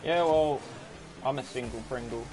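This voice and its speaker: A young man in his twenties talks loosely to his live chat while he plays, his voice sitting at an ordinary middle pitch. Game music plays clearly behind him the whole time. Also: moderate pace. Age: 20s. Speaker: gaming streamer. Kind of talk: casual live-stream chat while playing.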